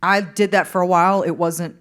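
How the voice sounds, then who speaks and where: A woman singer-songwriter from the Upper Midwest, answering interview questions on stage in a relaxed, hesitant way. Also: fairly low pitch, brisk. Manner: relaxed, hesitant, laughs easily. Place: stage in a concert hall.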